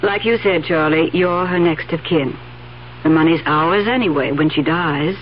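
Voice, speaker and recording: A woman's voice: deep voice, normal speed, lightly acted. An actress playing a greedy, brazen female schemer in a 1970s radio drama, with a cool, goading delivery. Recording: dull 1970s radio recording, faint hiss.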